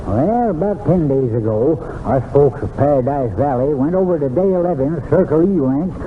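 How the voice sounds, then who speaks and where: An actor playing a crusty, folksy old cowboy with a Western American drawl, grumbling and spinning tales in a radio western. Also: male voice, on the high side, expressive, phone-quality sound, lightly acted. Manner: folksy, drawn-out storytelling. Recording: noisy, phone-thin old radio recording.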